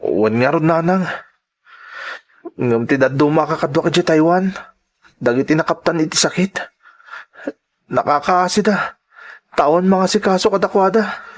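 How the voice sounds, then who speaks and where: A man acts out an earnest grown son working abroad in an Ilocano radio drama. His voice sits high for a man, and he speaks respectfully with an Ilocano accent. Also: moderate pace, pauses often, lightly acted. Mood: somber. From Philippines. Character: earnest adult son, migrant factory worker abroad.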